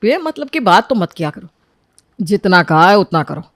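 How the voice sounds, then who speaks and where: A woman voicing a bossy, sarcastic young husband in an animated story, scolding his wife. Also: medium pitch, normal speed, no echo, clean studio sound.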